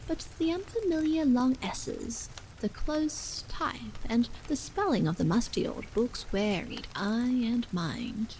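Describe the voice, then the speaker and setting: Expressive higher-pitched woman reading softly over rain. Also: takes it slowly, few pauses, slight background noise, low-quality recording. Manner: soft, hushed, expressive reading with some whispery phrase endings. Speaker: storyteller, reader. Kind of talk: bedtime story ASMR, live sight reading of a novel. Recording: steady rain sound over the voice.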